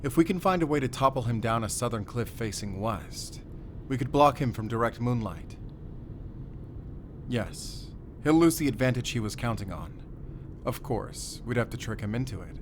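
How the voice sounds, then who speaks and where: A man playing a battle-worn, calm and wry werewolf hunter in an audio roleplay, speaking deliberately in short, paused phrases with quiet tenderness. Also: mid-range voice, a little hiss or hum, close to an everyday voice. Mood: grave, resolute. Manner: measured, deliberate, pausing between short phrases. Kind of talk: cinematic audio roleplay acting.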